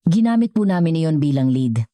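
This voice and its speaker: Audio story role: businesslike woman assistant, done by the female narrator, formal delivery, soft tone, dry sound. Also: low-pitched, expressive, brisk, no echo. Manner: formal, reporting. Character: efficient, formal female corporate aide.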